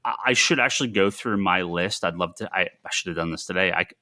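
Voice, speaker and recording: A man with a lowish, bright and forceful voice, talking as a podcast co-host. He thinks aloud in a halting way, repeating words for emphasis, in a casual news-chat style. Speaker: podcast co-host who runs a comics store.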